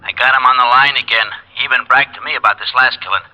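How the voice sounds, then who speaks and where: An actor playing a brisk police sergeant reporting to his superior in a 1950s radio drama. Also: mid-range voice, highs cut off, slight background noise, lightly acted. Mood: urgent.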